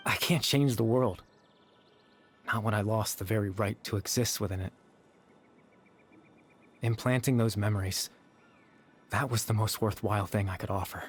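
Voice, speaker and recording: A man playing a gentle, sorrowful young man in a video game, speaking in a soft, measured, confessional way. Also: fairly low pitch, a little hiss or hum, close to an everyday voice. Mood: wistful, resigned. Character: gentle, sorrowful young man, a fading remnant consciousness at peace. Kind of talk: scripted video game voice acting. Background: background music.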